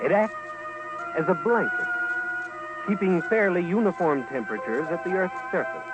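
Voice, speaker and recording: Male narrator, higher-than-average pitch, portentous, deliberate, solemn, vintage radio documentary style, very prominent background music. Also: sing-song, rough recording. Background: loud background music.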